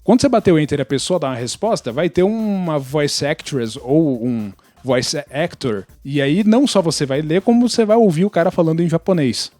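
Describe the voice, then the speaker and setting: A man's voice: fairly high pitch, lively intonation, a little fast, few pauses, dark tone, no room sound, soft background music. A Brazilian man explaining his opinions in casual podcast chat, blunt, opinionated and sometimes foul-mouthed. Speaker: podcast participant.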